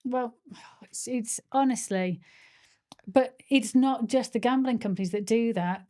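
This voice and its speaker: Passionate woman, mellow voice. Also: mid-range voice. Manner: passionate, ranting. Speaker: podcast co-host, hypnotherapist. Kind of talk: casual chat.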